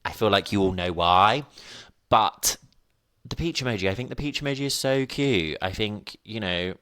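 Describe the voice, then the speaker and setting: A young man in his twenties hosts a solo podcast from home in a rambling, self-mocking way. His voice sits very low, and the sound is close and dry with no room around it. A sniffly nose runs through his talk. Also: animated, normal speed, a bit bright, clean tone, dry recording. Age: 20s. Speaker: podcast host. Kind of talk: casual solo podcast chat. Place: at home, indoors.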